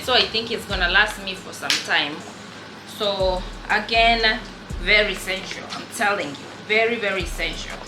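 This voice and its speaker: A woman's voice: mid-range voice, echoey room, low-quality recording. A young woman nurse from Kenya with a Kenyan accent, chatting casually and rambling through her work gear with many fillers. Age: young adult. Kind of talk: casual show-and-tell vlog.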